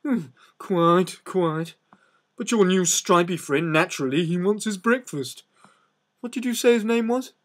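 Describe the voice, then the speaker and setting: A man voicing a gloomy, sardonic old donkey in a storybook reading, slow, deadpan and mournful. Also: high-pitched, voice pushed up. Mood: gloomy, resigned.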